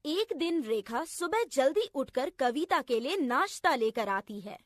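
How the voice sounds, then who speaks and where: High-pitched, lively woman storyteller. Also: measured pace, no room sound, clean studio sound. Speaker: narrator. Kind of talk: scripted children's story narration.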